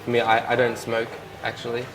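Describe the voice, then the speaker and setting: Gravelly young Australian man, noisy room. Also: medium pitch, fairly quick. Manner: relaxed, hesitant, with repeated restarts. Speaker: fashion model. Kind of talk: casual interview answers. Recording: loud ambient noise behind.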